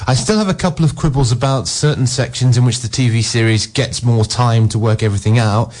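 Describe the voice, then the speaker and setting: A man's voice: mid-range voice, a little fast, a little mellow. A man co-hosting a radio film show who gives wry, opinionated reviews full of "you know" and "sort of". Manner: opinionated, wry, with frequent filler words. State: groggy. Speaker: film critic co-host.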